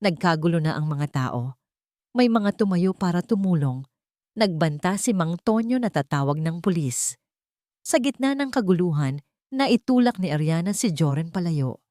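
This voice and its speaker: A woman's voice: fairly low pitch, animated, moderate pace, mellow, dry recording, clean studio sound. A woman reading a dramatic romance story as a scripted narrator.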